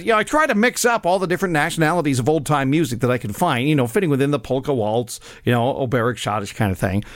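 Male radio host, slightly high pitch, dry studio sound, rambling chatty delivery. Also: fairly bright tone, dry recording. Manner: rambling, chatty, many fillers. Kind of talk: casual radio chat between records.

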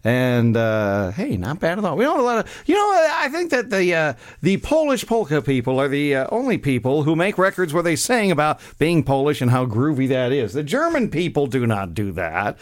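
Male radio host, slightly high pitch, dry studio sound, rambling chatty delivery. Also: wildly swooping pitch, normal speed, few pauses, no echo. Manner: rambling, chatty, many fillers. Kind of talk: casual radio chat between records.

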